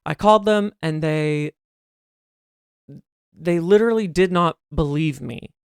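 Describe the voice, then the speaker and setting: A man co-hosting a casual podcast chat, talking in a soft, breathy voice that listeners call soothing, telling stories animatedly. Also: a little high, measured pace, no echo, studio quality. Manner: chatty, animated storyteller. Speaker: podcast co-host.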